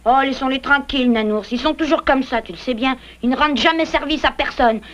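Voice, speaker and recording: Woman as a quick, high-voiced young beaver hero. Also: natural voice. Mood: determined. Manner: earnest, decisive, righteous. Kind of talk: dubbed cartoon acting. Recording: muffled old VHS cartoon with music.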